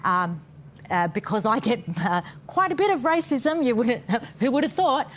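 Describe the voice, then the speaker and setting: A woman's voice: on the high side, sing-song, moderate pace, fairly bright tone, a little hiss or hum. A woman on a discussion panel, speaking hesitantly with many fillers. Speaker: panel guest.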